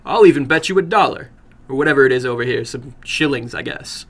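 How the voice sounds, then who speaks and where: A man voicing a boastful American boy of about nineteen in a dramatic story, loud, breezy and slangy. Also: medium pitch, animated, quick pace, gravelly. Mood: breezy, game.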